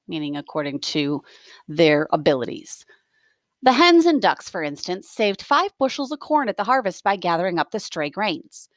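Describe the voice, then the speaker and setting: A woman reading a novel aloud as a teacher, expressive, with plain explanatory asides for students. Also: mid-range voice, no echo, studio quality.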